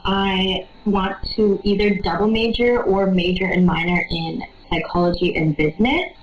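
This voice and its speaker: A woman's voice: mid-range voice, steady tone, moderate pace. A nineteen-year-old woman chatting casually and informally as an interview guest. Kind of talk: casual chat. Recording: muffled, lo-fi audio.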